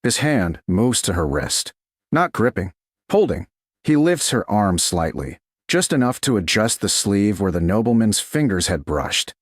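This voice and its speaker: A low-pitched man narrates a romantic tale in a deliberate, measured manner. His pitch moves expressively through short dramatic phrases. The recording is close and dry, with no room sound. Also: very animated, no room sound. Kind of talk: scripted narration of a romance story.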